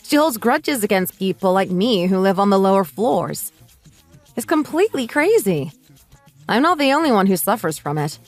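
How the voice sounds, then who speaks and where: A woman's voice: mid-range voice, very animated, normal speed, soft background music. A woman narrating a scripted first-person story.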